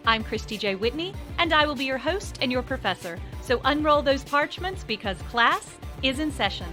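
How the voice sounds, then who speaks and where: A woman's voice: high-pitched, animated, normal speed, pushed and bright, soft background music. A woman hosting a podcast, speaking as an interviewer introducing and chatting with a guest. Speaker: podcast host. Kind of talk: scripted podcast introduction and friendly interview chat.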